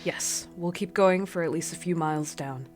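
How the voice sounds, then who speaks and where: Low, stern female captain played by a woman. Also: normal speed, a little mellow, lightly acted. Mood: tense, firm. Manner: commanding, curt. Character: stern, impatient female captain of an exploring crew. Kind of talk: radio drama acting.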